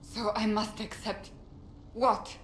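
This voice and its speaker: An actress playing a fierce, zealous warrior in a video game, intense and accusatory. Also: female voice, on the high side, flat delivery, slow pace, a bit bright. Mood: bitter, accusing. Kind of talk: video game character acting. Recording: roomy echo, faint noise.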